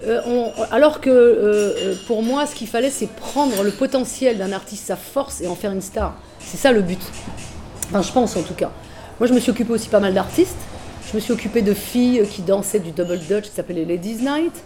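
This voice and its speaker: A middle-aged woman musician chatting casually in an interview, relaxed and full of fillers. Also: mid-range voice, a little fast, slight background noise. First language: French. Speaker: musician guest.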